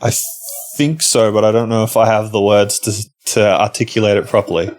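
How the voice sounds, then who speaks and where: A man's voice: lowish voice, fairly even intonation, brisk, darkish tone, soft background music. A man hosting a podcast, asking questions in an easygoing, casual way. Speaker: podcast host. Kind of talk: casual interview.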